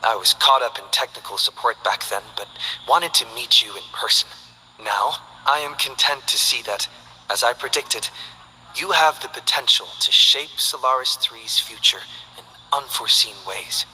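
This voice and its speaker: An actor voicing a composed, scholarly man in a game, speaking in a measured, formal way. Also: mid-range voice, sharp tone, big-room sound, a little hiss or hum, low-quality recording.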